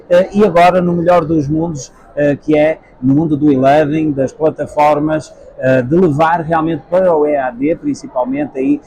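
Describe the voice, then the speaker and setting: A man in his forties from Portugal, with a European Portuguese accent, answering interview questions in a measured way with frequent hesitations. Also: a little high, warm tone, a little hiss or hum, rough recording. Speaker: guest, edtech sales executive. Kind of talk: trade-fair interview answers. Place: busy expo hall.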